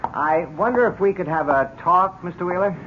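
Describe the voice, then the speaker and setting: A man's voice: high voice, natural voice. Man playing a courteous police lieutenant, muffled radio sound. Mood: polite, grave. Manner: measured, courteous. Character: polite, measured male police lieutenant. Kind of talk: 1970s radio drama acting. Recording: dull, noisy old radio recording.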